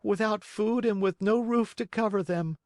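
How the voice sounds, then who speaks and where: A man's voice: sits high, moderate pace, darkish tone, no echo, clean studio sound, pitched above the performer's own voice. Man voicing an eager wooden boy puppet.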